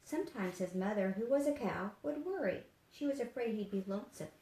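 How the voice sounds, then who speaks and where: A woman reading a children's story aloud in an expressive, simple storytelling manner, as if to young listeners. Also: mid-range voice, brisk. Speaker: therapy dog handler and volunteer reader. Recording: echoey room with faint background noise.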